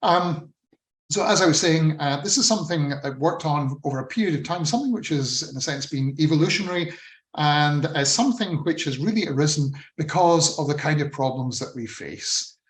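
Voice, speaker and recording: A man with a Scottish accent presenting slides on a video call, measured and with frequent ums and uhs. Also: fairly high pitch, animated, reverberant. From Scotland. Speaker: presenter on a funding model. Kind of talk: talk with screen-shared slides.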